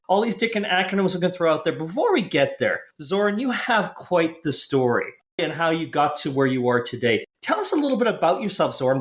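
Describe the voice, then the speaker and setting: A man co-hosting a podcast, chatty and playful, with a somewhat rambling way of asking questions. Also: high voice. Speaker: co-host.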